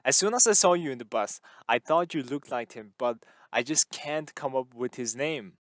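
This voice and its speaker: Young hairdresser woman, friendly and nosy, slightly husky; male reader voices her. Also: medium pitch, animated, normal speed, fairly bright tone, no echo, lightly acted. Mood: chatty. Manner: curious, talkative, teasing questions.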